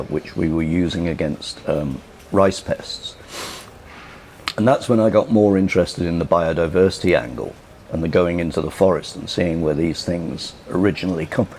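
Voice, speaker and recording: Older Liverpudlian man, very deep voice, loose conversational storytelling, faint room noise. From England. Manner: relaxed, rambling, frequent "you know" and restarts. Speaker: interviewee. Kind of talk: casual recollection.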